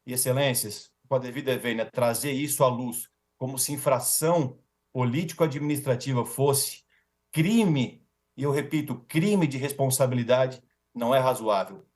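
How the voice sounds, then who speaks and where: A man's voice: medium pitch, normal speed, a little mellow. A Brazilian man speaking as a defense lawyer in a formal, rhetorical speech with emphatic repetition and ceremonious forms of address.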